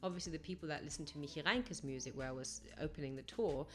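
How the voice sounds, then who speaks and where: A woman's voice: low-pitched, fast, fairly bright tone. A young woman, a singer-songwriter guest, chatting reflectively and rambling a little on a podcast interview. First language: English first language. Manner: chatty, reflective, rambling with fillers and self-corrections. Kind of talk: casual podcast interview storytelling.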